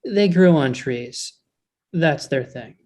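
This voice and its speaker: A woman acts out an officious male elf in a comedy read. The voice sits a bit low, with an earnest, worried and slightly hesitant delivery. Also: expressive, slow, a little mellow, exaggerated voice. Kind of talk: table read comedy acting.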